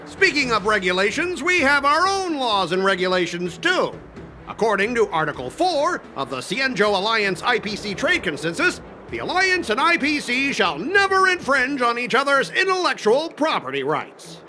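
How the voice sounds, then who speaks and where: A man's voice: extremely high pitch, wildly swooping pitch, normal speed, sharp tone, faint music behind, exaggerated voice. A man voicing a stubborn, blustering corporate cargo officer in a video game, indignant, officious and argumentative. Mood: officious, wary. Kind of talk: animated video game voice acting.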